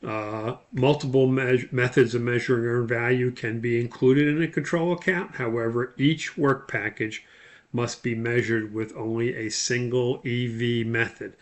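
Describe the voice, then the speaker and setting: Man giving a halting instructional lecture. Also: mid-range voice, fairly even intonation. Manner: explanatory, halting delivery with frequent uhs. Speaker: course instructor. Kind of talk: instructional lecture on project management.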